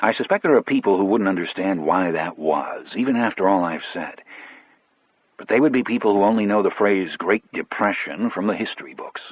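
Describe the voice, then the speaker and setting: Guy reading an audiobook story in first person, husky lowish voice, kinda muffled and dry. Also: expressive, a little fast, no room sound. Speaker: audiobook narrator.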